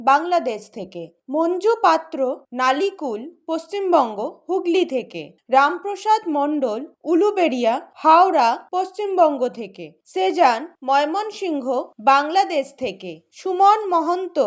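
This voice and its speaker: A woman reading out scripted announcements as a show's announcer. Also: high voice.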